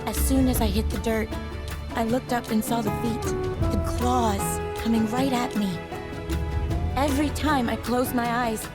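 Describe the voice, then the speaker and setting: A woman's voice: on the high side, steady tone, unhurried, close to an everyday voice. A woman playing a guarded, earnest, downcast young former racer in a video game, with a defensive delivery. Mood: haunted. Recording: loud music behind the voice. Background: loud game music.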